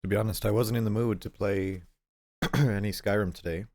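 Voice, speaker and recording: A stuffed-up man short of breath, rambling casually as he streams a game and clears his throat often. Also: on the low side, fairly even intonation, a little fast, a little mellow, dry recording, studio quality. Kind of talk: casual gameplay chat.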